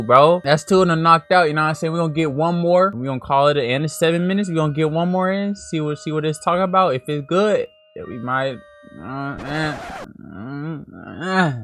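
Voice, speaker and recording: A man's voice: a little high, fairly bright tone, a little hiss or hum. A man hosting a reaction video, giving casual, slangy commentary with muttered, informal asides.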